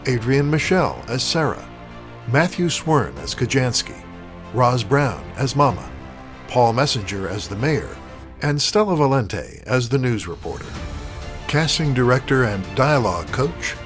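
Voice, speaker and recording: Male announcer, American accent, lively intonation, deliberate oratorical scripted narration, music behind. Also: mid-range voice. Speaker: host and announcer.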